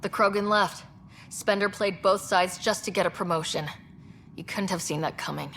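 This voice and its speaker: An actress playing a confident young expedition leader in a video game, decisive and wry. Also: female voice, mid-range voice, normal speed, fairly bright tone, a little hiss or hum, lightly acted. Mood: firm.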